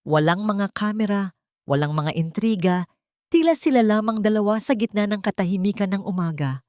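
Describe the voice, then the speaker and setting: A woman reading a scripted dramatic story in a measured, solemn way. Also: mid-range voice, lively intonation, a little mellow, no echo, clean studio sound. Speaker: story narrator. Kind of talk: scripted dramatic audiobook-style narration.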